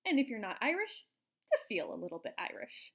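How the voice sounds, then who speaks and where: A woman reading a picture book aloud to young children in an expressive, explanatory way, like a teacher. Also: on the high side, brisk. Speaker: children's storybook reader, teacher.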